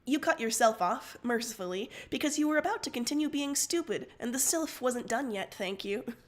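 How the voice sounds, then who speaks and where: A woman's voice: fairly high pitch, lively intonation, moderate pace. A woman reading second-person fiction aloud as the narrator of a livestreamed story.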